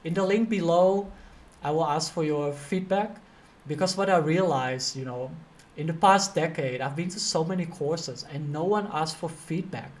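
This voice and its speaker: A man's voice: on the high side, normal speed. A man with a Dutch accent speaking informally and directly as a career coach teaching a motivational online course. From Netherlands.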